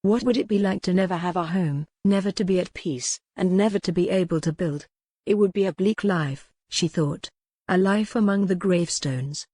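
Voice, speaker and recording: A woman's voice: fairly low pitch, moderate pace, mellow, dry recording, clean studio sound. A woman narrating a scripted historical romance audiobook.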